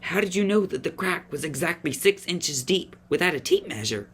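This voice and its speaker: A woman's voice: lowish voice, lively intonation, normal speed, a bit bright, a little hiss or hum. A woman playing a curious, clever, polite young boy in a family audio drama, earnest and inquisitive. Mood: puzzled, polite.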